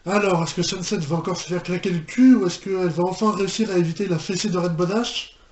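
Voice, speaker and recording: A man giving casual reaction commentary as a video host, halting and often restarting his phrases. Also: sits high, fairly even intonation, faint music behind. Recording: roomy home recording with background noise.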